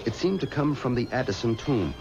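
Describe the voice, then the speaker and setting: An actor playing a stubborn, pious man in a 1970s radio horror drama, speaking in a dramatic, deliberate way. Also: medium pitch, lively intonation, natural voice. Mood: tense, haunted. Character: stubborn, pious man recounting a graveyard haunting. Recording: muffled old radio recording with music.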